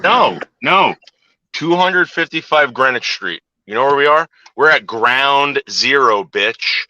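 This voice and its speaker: Man, very bright voice, lively swooping pitch, teasing, dry sound. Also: slow pace, highs cut off. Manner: teasing, insistent, repeats himself. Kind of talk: crude casual banter.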